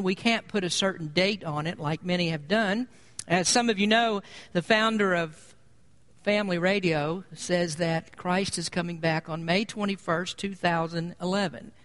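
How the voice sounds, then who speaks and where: An American man preaches as a pastor in a noticeably high-pitched voice. He explains his points in an earnest, measured manner, in the style of an expository sermon. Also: fairly bright tone.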